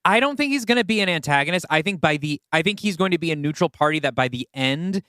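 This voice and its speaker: A man talks as a podcast co-host in a relaxed planning chat. His voice sits a little high and is very clean and resonant, with no room sound around it. He explains ideas conversationally. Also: quick pace, no room sound, studio quality. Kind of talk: casual story-planning discussion.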